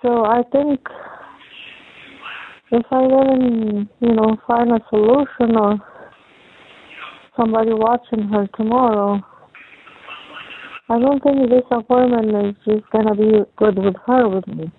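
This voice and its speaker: A young adult woman chatting casually on a phone call, talking in a halting, rambling, repetitive way. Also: on the high side, fairly even intonation. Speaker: caller talking with partner. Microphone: telephone call. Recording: tinny phone line with faint noise.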